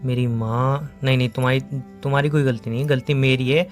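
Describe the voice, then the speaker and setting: A man's voice: medium pitch, steady tone, brisk, soft background music, close to an everyday voice. A young man acting a patient, teasing boyfriend in a phone-call skit, speaking in a caring, coaxing way. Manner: coaxing, playful, soothing. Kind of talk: acted romantic comedy phone-call skit in Hindi.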